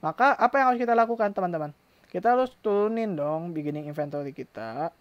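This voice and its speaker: A woman's voice: fairly low pitch, lively intonation, fast, no echo. A woman with an Indonesian accent giving an informal step-by-step accounting tutorial, addressing learners directly and often asking questions that she then answers herself. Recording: dull, lo-fi close dry recording.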